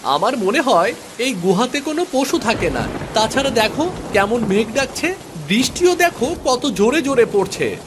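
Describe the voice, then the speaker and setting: A man's voice: extremely high pitch, big pitch swings, moderate pace, background noise. A man voicing an eager young village boy who pesters his father in a children's cartoon. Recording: music behind the voice.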